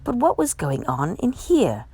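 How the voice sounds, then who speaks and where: A woman reading a children's picture book aloud as a storyteller, with expressive, measured delivery. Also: mid-range voice, husky, no room sound. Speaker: storytime reader.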